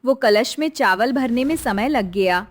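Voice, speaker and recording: Meek young daughter-in-law , acted by a woman: very high, unhurried, deferential, kindly, melodramatic storytelling. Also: lively intonation. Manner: gentle, deferential. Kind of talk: melodramatic Hindi moral story acting.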